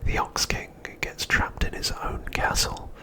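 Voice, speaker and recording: Whispering man narrating softly for ASMR. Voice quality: unvoiced, breathy whisper. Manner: soft, unhurried, hushed storytelling. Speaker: ASMR host.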